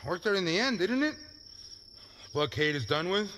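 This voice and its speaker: A man's voice: high-pitched, big pitch swings, normal speed, a bit bright, a little hiss or hum. A man playing an evasive, grudging mercenary boss who casually shrugs off trouble in an audio adventure game. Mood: sheepish.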